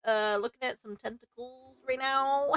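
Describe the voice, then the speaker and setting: A woman's voice: high voice, fairly even intonation, a little slow, fairly bright tone, clean tone, no echo. A woman livestreamer giving casual, playful and reactive let's-play commentary while chatting with her viewers. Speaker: livestreamer playing a visual novel.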